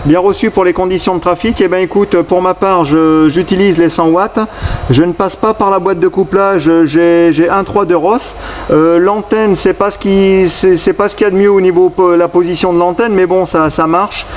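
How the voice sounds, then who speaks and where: High-voiced man on ham radio, halting. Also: fairly even intonation. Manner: hesitant, halting, repeats words. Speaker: amateur radio operator. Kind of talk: radio contact chat with call signs. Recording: dull, noisy radio recording.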